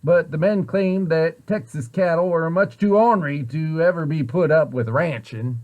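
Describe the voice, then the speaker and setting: A man reading a children's story aloud in an expressive way, with deliberate, dramatic emphasis. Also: on the high side, highs cut off. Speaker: storybook reader, site director at a children's center.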